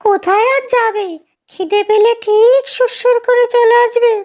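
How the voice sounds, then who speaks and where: Man doing a very high-pitched nagging village wife. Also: steady tone, a little slow. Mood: scornful. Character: scornful, nagging village stepmother, easily frightened. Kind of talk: Bengali cartoon dialogue.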